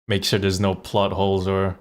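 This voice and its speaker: A man's voice: low voice, monotone, moderate pace. A man streaming a game and chatting casually, thinking aloud in a rambling way. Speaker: live streamer. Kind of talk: casual chat while playing a game.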